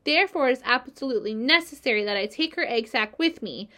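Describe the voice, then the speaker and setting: A woman's voice: on the high side, lively intonation, fast talker, sharp tone. A woman voicing an earnest young male pig begging for help. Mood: pleading. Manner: pleading, urgent.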